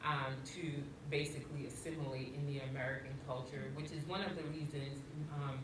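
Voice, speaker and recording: A woman from Haiti with a light Haitian accent telling her own story on a panel, halting with many ums and restarts. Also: very deep voice, steady tone. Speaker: panel speaker. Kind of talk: personal story in a panel discussion. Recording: echoey room from far off, noisy.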